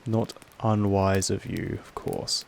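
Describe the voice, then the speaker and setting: A man voicing a possessive, patient, commanding vampire master in a roleplay audio monologue, speaking in a slow, deliberate way with weighty pauses. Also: fairly even intonation, a little hiss or hum, full character voice. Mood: controlled, quietly menacing. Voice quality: low, creaky. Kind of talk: scripted roleplay audio monologue addressed to the listener.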